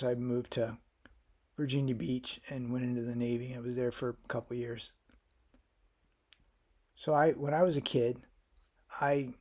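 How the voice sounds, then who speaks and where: A man's voice: mid-range voice, a little mellow. Rambling middle-aged man from Florida. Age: 50s. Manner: rambling, with frequent restarts and fillers. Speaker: vlogger. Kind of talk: casual personal vlog.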